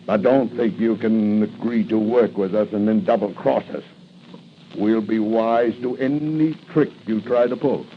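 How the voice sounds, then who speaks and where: A man's voice: fairly low pitch, unhurried, close to an everyday voice. An actor playing a menacing, mocking gangster henchman in 1940s radio style, with a sneering, taunting delivery. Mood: threatening. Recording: muffled 1940s radio broadcast, faint noise.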